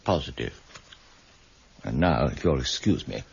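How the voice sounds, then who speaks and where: An actor playing a pompous, defensive Oxford don in a radio crime drama, formal, prickly and husky. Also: male voice, extremely low pitch, lively intonation, a little slow, a little mellow, heavily acted. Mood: defensive, uneasy. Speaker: university lecturer. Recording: dull, muffled radio drama recording.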